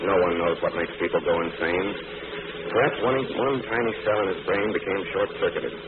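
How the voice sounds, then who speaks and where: A man plays a calm crime-fighter from 1940s radio. His voice is deep, his delivery measured and reflective with a wry edge. The sound is boxy and narrow, with clear noise. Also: lightly acted. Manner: measured, matter-of-fact, wry. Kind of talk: 1940s radio drama acting. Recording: noisy, narrow-band vintage radio recording.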